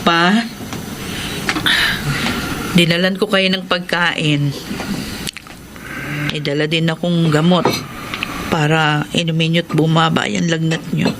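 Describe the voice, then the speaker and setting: An actress playing a caring, forgiving adult daughter in a radio drama, speaking with tearful earnestness. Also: female voice, low voice, steady tone, takes it slowly, long pauses, background noise. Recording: loud music under the voice. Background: dramatic music.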